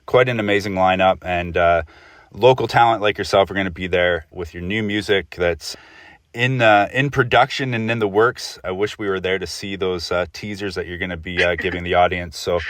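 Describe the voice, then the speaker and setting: A man's voice: sits low, steady tone, moderate pace, fairly bright tone, no echo. Man interviewing in a close, dry sound. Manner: conversational, meandering questions. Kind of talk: casual interview chat.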